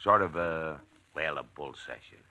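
A man's voice: a bass voice, measured pace, pushed and bright, heavily acted. An actor playing a genial, oblivious middle-aged factory foreman in 1940s radio style, repeating his phrases jovially. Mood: chummy.

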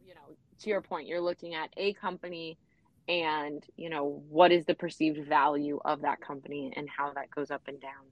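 A woman's voice: low voice, steady tone, normal speed, no room sound, lo-fi sound. A woman hosting an interview, asking casual, hesitant questions. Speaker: interview host.

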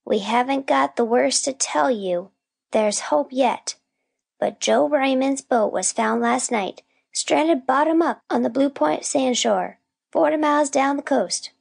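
Grave old seaside man with a gravelly, raised voice, read by a woman narrator. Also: high voice, a little slow, pitched above the performer's own voice, full character voice.

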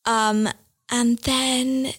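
A woman's voice: on the high side, little pitch movement, drawn-out words, breathy edge. A young adult woman speaking earnestly and reflectively as an interview guest, with frequent "like" fillers. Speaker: book editor and guest. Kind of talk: interview conversation.

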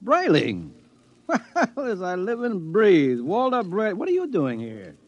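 A man's voice: sits high, huge pitch swings, a little slow, darkish tone, exaggerated voice. A henpecked American husband in a 1950s radio drama. He is a weary, skeptical fellow who talks in a wry, grumbling way with plenty of rise and fall. The recording is dull and old. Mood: surprised, curious.

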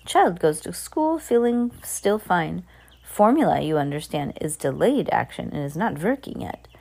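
A woman's voice: fairly low pitch, expressive, unhurried, creaky, a little mellow, heavily acted. A woman voicing a gloating, commanding evil grand witch in broken English for a storybook reading. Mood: commanding, scheming. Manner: broken grammar, boastful, commanding.

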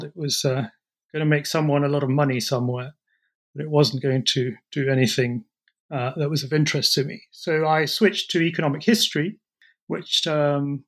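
A middle-aged man talks about his path into history during an interview. His fairly high voice carries a reflective, measured manner, with small pauses as he works through his thoughts. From born in Peru, raised in France and the UK. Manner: thoughtful, reflective, slightly hesitant phrasing. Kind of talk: conversational interview answers.

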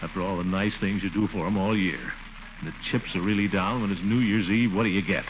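An actor playing a lonely, self-pitying middle-aged uncle, muttering and grumbling to himself in a 1940s radio comedy style. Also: male voice, deep voice, fairly quick, noisy background, natural voice. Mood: wistful, bitter. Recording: muffled old radio recording with music.

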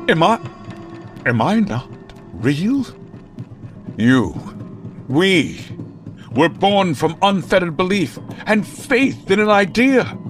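A man playing a grandiose, righteous comic-book vigilante in an old-time radio drama, speaking with pompous melodrama. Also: on the high side. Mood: bewildered. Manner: pompous, melodramatic, drawn-out delivery.

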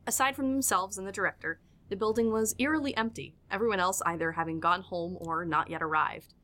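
A woman's voice: mid-range voice, a little fast, pushed and bright. A woman narrating a scripted audio drama as its storyteller.

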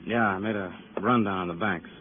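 An actor playing a wry, chatty police detective in a 1950s radio crime drama, with colourful, wordy delivery. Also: male voice, on the low side, brisk, lightly acted. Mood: wry, musing. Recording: tinny, noisy old radio recording.

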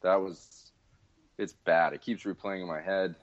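A man's voice: low voice, steady tone, unhurried. A man co-hosting a casual sports podcast, talking in a rueful, self-deprecating way. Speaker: podcast co-host. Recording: dull lo-fi sound.

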